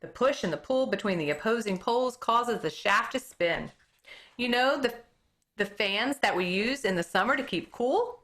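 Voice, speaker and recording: A woman reads a chapter book aloud to her class in a bright, ringing voice. Her pitch swoops up and down freely as she tells the story, and she sometimes trips on a word and fixes it as she goes. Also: moderate pace. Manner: expressive read-aloud, occasional stumbles and self-corrections. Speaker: elementary school teacher reading aloud. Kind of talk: reading a children's book aloud.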